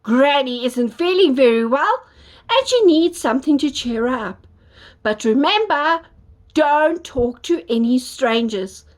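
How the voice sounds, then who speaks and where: Kind mother from a storybook, gentle, unhurried as a woman reads her. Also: sits high, expressive.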